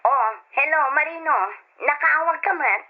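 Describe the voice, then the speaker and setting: Stingy, domineering aunt, high voice, sharp orders, Ilocano accent, old radio drama on dull lo-fi audio. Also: female voice, normal speed. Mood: reassuring, smooth. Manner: sharp, commanding. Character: bossy, stingy aunt scolding her young wards.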